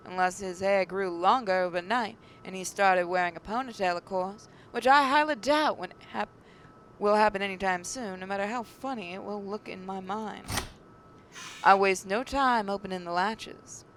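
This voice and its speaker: A faint rumbling noise sits behind a woman with a mid-pitched voice. She reads visual novel narration aloud as a gaming host, now and then stumbling over a word. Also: normal speed, fairly bright tone, rough recording.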